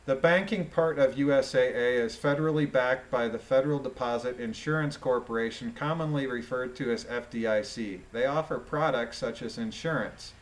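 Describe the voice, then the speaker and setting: A man with a mid-pitched voice reads a legal transcript aloud. He is deliberate, voicing both questions and answers in the informal way of an online commentator.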